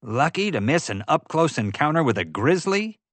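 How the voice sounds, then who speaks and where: Man acting talkative, carefree thrill-seeker, lively pitch. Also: moderate pace, no echo. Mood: amazed. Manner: gushing, talkative, casual. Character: excitable, carefree adventure-loving man. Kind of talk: audiobook character voice.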